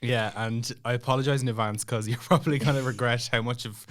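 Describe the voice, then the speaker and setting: A man's voice: medium pitch, fairly even intonation, quick pace. A young Irish man telling a story as a podcast guest in a casual, chatty, self-deprecating way. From Ireland.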